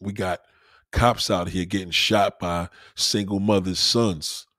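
A man's voice: low-pitched, steady tone, slow pace, a bit bright, no echo, clean studio sound. A man giving a blunt, profane opinion monologue in a casual, lecturing way, repeating points for emphasis. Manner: emphatic, repeats himself for stress.

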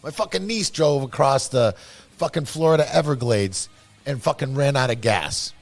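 A man chatting casually, telling animated, profane stories. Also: medium pitch, normal speed, vocal fry. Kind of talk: casual chat.

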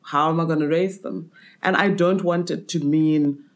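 A woman in her twenties who grew up in Botswana, a podcast guest who talks candidly and reacts quickly in a casual interview. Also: low-pitched, fairly even intonation. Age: 20s.

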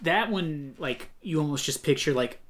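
Animated swearing podcast guy. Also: fairly high pitch, moderate pace, a little hiss or hum. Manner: animated, swearing, mocking asides. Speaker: podcast co-host. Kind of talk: casual chat.

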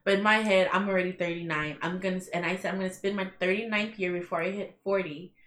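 A woman's voice: fairly low pitch, fairly even intonation, fast, fairly bright tone. A woman in her thirties chatting on a podcast with friends, blunt and teasing, with casual swearing. Her voice carries the echo of a bare room. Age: 30s. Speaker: podcast co-host. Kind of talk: casual chat among friends.

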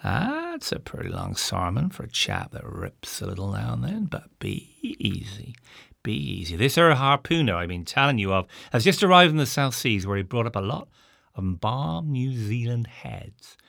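Man putting on a gravelly folksy innkeeper, older, teasing, rustic drawl, dry close sound. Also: medium pitch, sing-song, moderate pace, fairly bright tone, dry recording. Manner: rustic drawl with dropped words.

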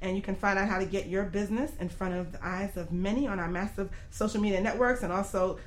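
A woman's voice: medium pitch, fairly even intonation, fast. A woman hosting a casual radio talk show in a playful, slangy way.

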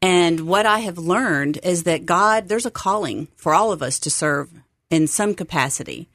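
A woman's voice: on the low side, animated, moderate pace, fairly bright tone, dry recording, clean studio sound. A middle-aged woman from Texas, speaking as an upbeat, earnest guest in a conversational radio interview. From Texas, United States. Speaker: interview guest, seminary site coordinator.

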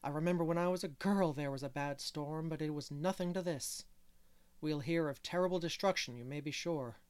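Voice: mid-range voice, brisk, darkish tone, smooth, natural voice. A reader voicing a stern, solemn older woman in an audiobook of a classic novel. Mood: grim. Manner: grim, solemn.

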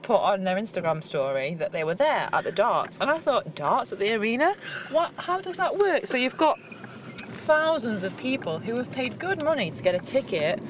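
A woman's voice: a little high, normal speed. A young woman, a teacher chatting casually in a car and laughing often. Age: young adult. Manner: laughs often, animated storytelling. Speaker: podcast co-host, teacher. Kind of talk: casual chat. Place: inside a car. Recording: noisy car interior.

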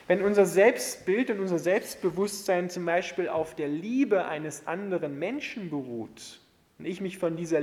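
Male preacher, higher-pitched voice, emphatic sermon delivery, long hall reverb. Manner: emphatic, rhetorical delivery with swings from loud to hushed.

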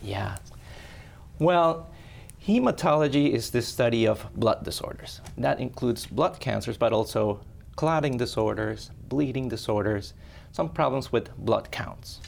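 A man's voice: medium pitch, expressive, measured pace, creaky, a little hiss or hum. A man from Ecuador, a hematologist, patiently explaining blood disorders as an interview guest in a friendly way.

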